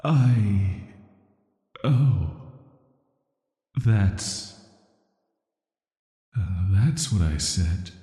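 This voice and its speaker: Man voicing a deep, dark, echoing cursed villain. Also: full character voice. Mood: hesitant, guarded. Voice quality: soft, hushed, atmospheric. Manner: flat, hesitant, slow, measured delivery. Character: banished cursed male villain, soft, flirty, secretly dangerous dream visitor. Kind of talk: scripted roleplay sleep-aid audio drama. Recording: echoing, long reverb.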